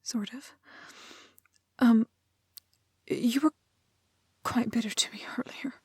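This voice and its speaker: A woman playing a gentle, curious young village maiden in a romantic fantasy audio roleplay, with a soft, breathy voice and halting, old-fashioned polite phrasing. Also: on the high side, fairly even intonation, dry recording, clean studio sound, full character voice. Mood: timid, polite.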